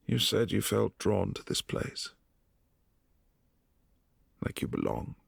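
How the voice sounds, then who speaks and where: Guy doing a brooding old vampire prince, super low and gravelly, soft and close up. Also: big pitch swings, no room sound, full character voice. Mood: wistful. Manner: soft-spoken, intimate, halting. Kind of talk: ASMR boyfriend roleplay.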